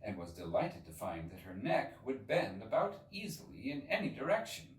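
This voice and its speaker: A man reading a children's story aloud as expressive scripted narration. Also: fairly low pitch, normal speed. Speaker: storybook reader. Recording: roomy, echoey, distant from the mic.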